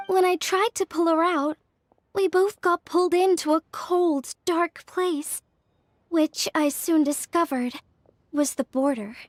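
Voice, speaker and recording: A woman's voice: high voice, no echo. A young woman voicing an earnest craftswoman from a fortune-telling clan, explaining things in a modest, careful way. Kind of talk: video game voice acting. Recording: game cutscene with faint music.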